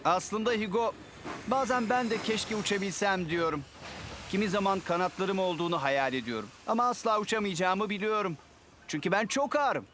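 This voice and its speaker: Man voicing a high, friendly cartoon engine. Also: moderate pace, background noise, lightly acted. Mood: gentle, sincere. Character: friendly, sensible young male steam engine.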